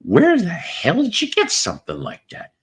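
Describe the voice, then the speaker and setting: A man hosting a casual chat show, telling a long story in a relaxing voice, rambling with pauses and restarts. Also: high voice, huge pitch swings. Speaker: host.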